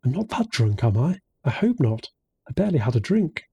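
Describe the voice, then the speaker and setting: A man's voice: mid-range voice, sing-song, fairly quick, creaky, mellow, husky, no echo, full character voice. A man voicing an awkward, anxious young woman in an audiobook, hesitant and nervous. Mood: uneasy.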